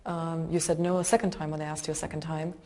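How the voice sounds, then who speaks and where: Female reporter; mellow, lower-pitched voice, composed delivery for narration and questions. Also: little pitch movement, fast talker. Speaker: journalist. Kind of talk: news narration and interview questions.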